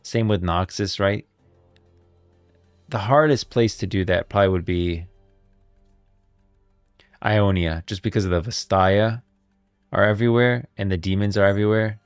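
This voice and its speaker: A man's voice: on the low side, normal speed, pauses often, smooth, no echo, faint music behind. A man hosting a livestream, talking casually about game lore and rambling as he thinks aloud. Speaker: livestream host.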